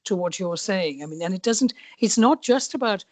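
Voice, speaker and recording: A woman's voice: mid-range voice, expressive, normal speed, darkish tone, dry recording. Woman host asking reflective questions. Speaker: podcast host, interviewer. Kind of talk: conversational interview.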